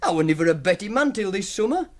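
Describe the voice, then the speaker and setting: Young northern english student lad, radio drama, highish voice, really animated, cocky and defiant. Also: male voice, fast talker. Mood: sardonic, reflective. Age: young adult. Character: defiant, self-assured young working-class university student and gambler. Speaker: actor. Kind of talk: naturalistic radio drama acting.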